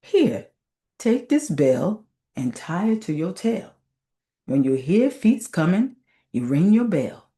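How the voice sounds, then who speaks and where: A folksy, patient God from a storybook folktale, played by a woman reader. He reasons things out slowly in Southern dialect, with a mellow, low tone and lively turns. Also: full character voice. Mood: considered, fair. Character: God as a male, deliberate, folksy folktale authority.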